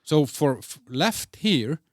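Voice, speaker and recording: A man's voice: on the high side, big pitch swings, takes it slowly, no echo, clean studio sound. A man from Iceland hosting a podcast in casual interview chat, speaking fluently and opinionatedly as he leads the questions. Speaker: podcast host.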